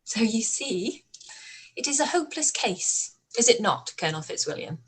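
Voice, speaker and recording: A woman playing a witty, spirited young Regency gentlewoman in a radio play, arch and playful. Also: a little high, very animated, moderate pace, slightly rough. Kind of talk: radio play live read.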